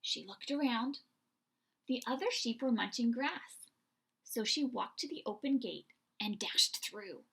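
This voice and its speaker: A woman hosting a read-aloud for young children, telling the story in an expressive, deliberate way. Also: a little high, vocal fry, breathy edge, low-quality recording. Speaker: children's story reader and host.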